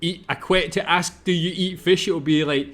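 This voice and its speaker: A man's voice: fairly high pitch, steady tone, moderate pace, a bit bright. A Scottish man with a Glasgow accent, thinking aloud as he reacts to a video and asks his viewers questions. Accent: Glaswegian Scottish. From Scotland. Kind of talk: casual reaction commentary.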